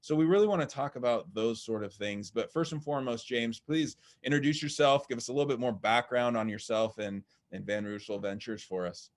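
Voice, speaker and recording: A man's voice: medium pitch, fairly quick, few pauses. A man hosting a live online interview, talking in a rambling way with many fillers and restarts. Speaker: host. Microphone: video call.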